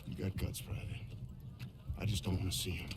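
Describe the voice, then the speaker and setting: A man's voice: sits low, fairly even intonation, moderate pace, background noise, lightly acted. A man playing a hard, distrustful, intimidating army sergeant in a war game, with a menacing manner. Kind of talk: war game cutscene acting.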